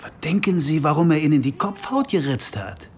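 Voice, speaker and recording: An actor playing a gnarled, crafty army sergeant in a German radio drama, with a clipped, deferential military manner. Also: male voice, on the high side, lively intonation, brisk, faint music behind, natural voice. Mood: firm, formal. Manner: military, clipped, matter-of-fact.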